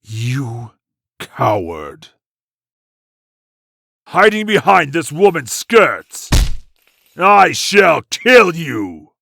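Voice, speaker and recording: A man voicing a proud, defiant medieval warrior in a comic dub, gravelly and solemnly declamatory. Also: a little high, very animated, extremely slow, pauses often, fairly bright tone, no echo, heavily acted. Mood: outraged, defiant.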